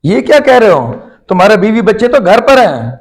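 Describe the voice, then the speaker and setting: An actor playing a callous, irritable grown son in an audio drama, curt and unfeeling. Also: male voice, high voice, very animated. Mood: annoyed. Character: callous, irritable grown son begrudging his old father. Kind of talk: Urdu moral audio drama acting.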